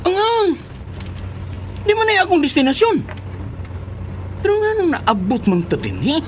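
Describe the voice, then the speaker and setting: Radio drama: lovestruck male Cebuano schemer, exclamatory, highly animated pitch, faint car noise behind. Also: measured pace, full character voice. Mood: bewildered. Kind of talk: Philippine radio drama acting. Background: car ambience.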